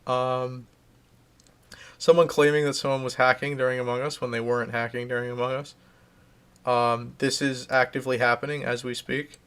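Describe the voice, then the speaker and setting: A man's voice: mid-range voice, level tone, normal speed, fairly bright tone, clear, resonant tone. A man streaming live, casually chatting and reading out viewer comments, rambling and restarting his sentences.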